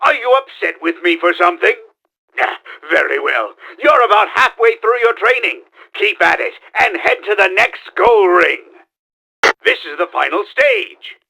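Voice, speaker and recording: A bombastic mad scientist villain from a video game. His voice sits high and swings widely, with a gritty, sharp edge. He delivers instructions in a pompous, theatrical way, as if every line were a proclamation. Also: sing-song, a little slow, gravelly, full character voice, low-quality recording. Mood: commanding. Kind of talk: video game tutorial voice lines.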